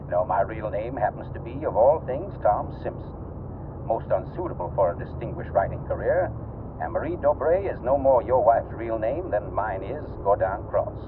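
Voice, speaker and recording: Deep-voiced, pompous older radio-drama sleuth, played by a man. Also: expressive, distant mic, natural voice. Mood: knowing, theatrical. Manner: pompous, deliberate, condescending. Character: urbane, theatrical older male author turned amateur detective. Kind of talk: vintage 1940s radio drama acting. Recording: tinny, noisy vintage radio through car speakers. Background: car ambience.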